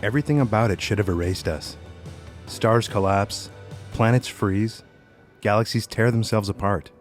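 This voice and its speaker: Man playing a low-voiced, philosophical young man. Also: faint music behind, close to an everyday voice. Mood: quiet wonder. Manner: measured, reflective phrasing. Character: quiet, philosophical young man, bassist, melancholy and earnest.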